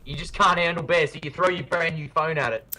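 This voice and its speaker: A man's voice: fairly high pitch, fairly even intonation, quick pace, pushed and bright. A man hosting a podcast in casual chat, playful and jokey, hyping ideas with enthusiasm. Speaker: podcast host.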